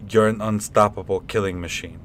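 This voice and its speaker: A lowish male voice over faint rumbling noise: an actor as a deadpan, rambling fellow in comic radio theatre. He explains things haltingly and matter-of-factly, with casual self-importance. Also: close to an everyday voice. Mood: deadpan, lecturing.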